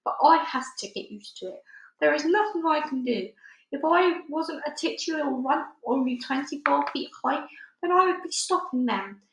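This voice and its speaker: A big, gentle male giant from a storybook, played by a woman reading aloud. He is gloomy and speaks in muddled, folksy grammar. The voice sits fairly high and rings in an echoey room. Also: animated, moderate pace. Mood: gloomy, resigned. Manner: garbled, ungrammatical folksy speech.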